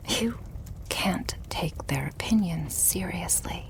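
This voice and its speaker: A woman acts out a loving yandere vampire girlfriend in an intimate ASMR roleplay. The voice is low-leaning and feminine, with a creaky edge and frequent soft whispering. The manner is breathy, teasing and protective, murmured close to a lover. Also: expressive, slow, low-quality recording. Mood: indignant, encouraging.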